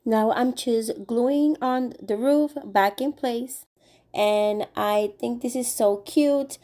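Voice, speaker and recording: A woman's voice: a little high, warm tone. Cheerful woman with a cold. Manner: cheerful, chatty step-by-step explaining. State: recovering from a cold. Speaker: craft channel host. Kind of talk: voice-over craft tutorial.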